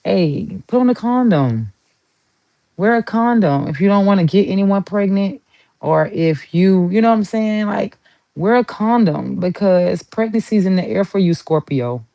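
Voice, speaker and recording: A woman's voice: medium pitch, lively intonation, a little slow, mellow, no echo. A woman with a Southern US accent giving a chatty, rambling tarot reading, playful and talkative as she pulls cards. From Southern United States. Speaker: tarot reader.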